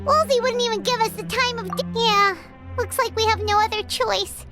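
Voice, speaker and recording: A woman voicing a tiny, chatty, easily indignant female fairy companion in a video game, squeaky and childlike, with an excitable manner. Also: normal speed. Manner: excitable, refers to self in third person. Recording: background music throughout.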